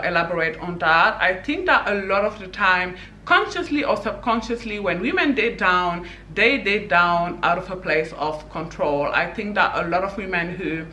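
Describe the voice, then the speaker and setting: Bright-voiced opinionated woman from Senegal. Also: lowish voice, roomy sound, a little hiss or hum. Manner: opinionated, emphatic, conversational with frequent "you know". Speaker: vlogger. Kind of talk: opinion commentary vlog.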